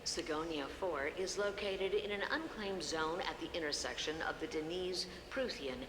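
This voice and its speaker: An actress playing a composed narrator who delivers scripted game lore in a measured, formal, informative way. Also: female voice, low-pitched, lightly acted. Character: composed, factual female documentary-style narrator. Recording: hall reverb with noisy ambience.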